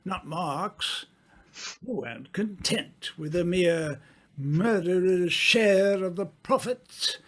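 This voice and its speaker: A man acting in an old-time radio mystery as a suave, smug gentleman sleuth, with a theatrical, accusing delivery. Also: high-pitched, animated, slow pace, heavily acted. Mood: relentless, triumphant.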